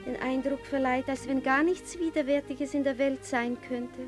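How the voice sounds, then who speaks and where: An actress as a gracious young noblewoman in a radio play, with a high voice. She speaks in a refined, formal way and gives orders with ease. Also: fairly even intonation, normal speed, music in the background, lightly acted. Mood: serene, reflective. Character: young princess, gracious, eager, used to giving orders. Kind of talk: literary radio play acting.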